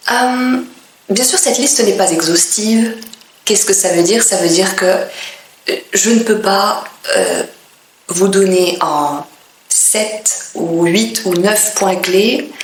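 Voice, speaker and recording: Slow, deliberate French woman teaching. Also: mid-range voice, reverberant, slight background noise, poor audio. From France. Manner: clear, deliberate delivery with pauses between phrases. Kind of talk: slow, careful teaching monologue.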